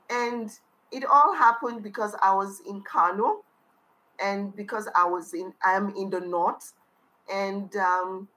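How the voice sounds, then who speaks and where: A woman with a Nigerian accent answers interview questions as a charity founder. Her voice sits at a middle pitch. She speaks from the heart and comes back to the same phrases for emphasis. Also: fairly bright tone, low-quality recording. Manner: heartfelt, earnest, repeats phrases.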